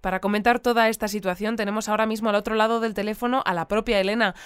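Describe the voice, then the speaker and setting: An actress playing a composed, articulate radio news interviewer in an audio drama. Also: female voice, mid-range voice, steady tone, racing, clear, resonant tone, dry recording, natural voice. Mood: engaged, informative. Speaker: radio presenter.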